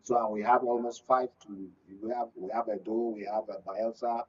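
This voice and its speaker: A man with a Nigerian accent giving political analysis as a panel guest, haltingly, often repeating and rephrasing. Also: mid-range voice, steady tone, a little mellow, recorded from a distance, muffled recording.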